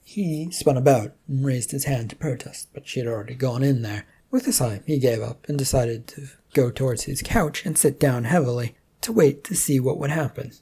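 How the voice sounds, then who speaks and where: Amateur fiction narrator, mellow and gravelly, phrasing that comes in halting pieces. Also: medium pitch. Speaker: story narrator.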